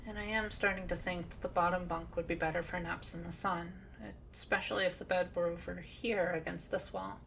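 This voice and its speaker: Woman playing a timid, creaky-voiced cat girl. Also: on the low side, steady tone, a little fast, few pauses, slight background noise. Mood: tentative. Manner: hesitant, polite, softly reluctant. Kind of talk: ASMR roleplay acting.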